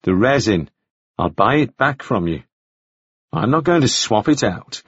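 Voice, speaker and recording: Man acting suspicious, exasperated man, husky and animated. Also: mid-range voice, measured pace, no echo, lo-fi sound. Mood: pressing, accusing. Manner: pressing, scornful questioning. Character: gruff, suspicious, exasperated man, forceful and accusing. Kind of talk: audiobook character acting.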